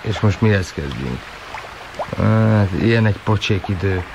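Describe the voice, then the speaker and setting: An actor playing an excitable, cheeky teenage boy who talks in slang in a radio drama. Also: fairly low pitch, creaky, highs cut off, noisy background. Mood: grumbling. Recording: music under the voice.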